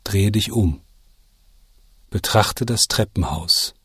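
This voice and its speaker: A man guiding the listener through scripted narration, giving deliberate instructions as an audio walk narrator. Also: lowish voice, fairly bright tone, slightly rough, no room sound, studio quality.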